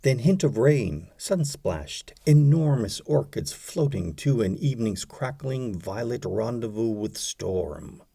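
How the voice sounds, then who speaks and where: A man reading poetry for an audiobook in an expressive, deliberate way, setting phrases apart with pauses. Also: mid-range voice, creaky, breathy edge. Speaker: audiobook narrator, poetry reader.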